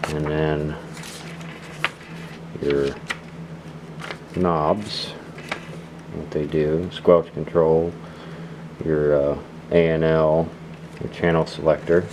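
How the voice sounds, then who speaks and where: An extremely low-pitched man hosts a casual product demonstration. He explains things in an unhurried, halting way, piece by piece. The recording carries clear background noise. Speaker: host of a radio gear channel.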